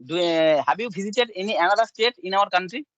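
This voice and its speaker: A man with a high voice for a male speaker and a Bengali accent practises casual English. He is a native Bengali speaker whose phrasing is halting and simple, often confirmed with a yeah or yes. The audio is dry and somewhat dull, like lo-fi call sound. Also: fairly bright tone, no echo. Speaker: English learner.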